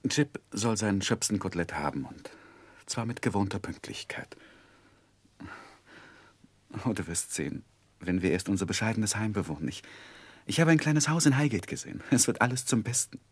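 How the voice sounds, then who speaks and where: A man's voice: on the low side, animated, fairly quick, breathy edge, no echo. An actor playing an earnest, tender, lovestruck young man who tells his life in a 1960s German radio drama, with careful literary phrasing. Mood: tender, coaxing.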